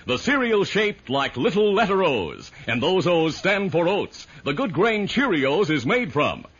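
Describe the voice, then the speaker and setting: Very animated, husky male pitchman. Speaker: commercial announcer. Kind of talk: 1950s radio advertising pitch. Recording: muffled old radio recording.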